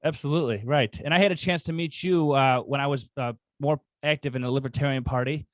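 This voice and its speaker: A man's voice: mid-range voice, fast talker, no echo. An American man speaking as a podcast host in a conversational style.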